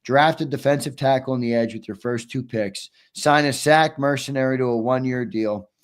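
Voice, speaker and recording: A man's voice: mid-range voice, moderate pace. A man hosting a casual sports talk show, upbeat and losing his voice. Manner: upbeat, reads viewer questions and ad reads. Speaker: host.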